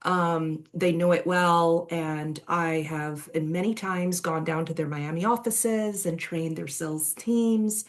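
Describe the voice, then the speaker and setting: A woman raised in Barbados and England speaks in a slightly low voice. She presents as a resort sales director, pitching informally and effusively with colorful, colloquial phrases.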